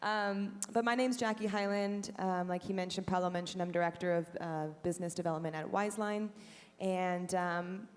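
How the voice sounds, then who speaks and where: Woman moderator, fairly low voice, chatty with fillers, long hall reverb. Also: steady tone, normal speed. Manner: friendly, filler-heavy with frequent "um". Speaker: panel moderator. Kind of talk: live panel moderation. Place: conference stage in a large hall.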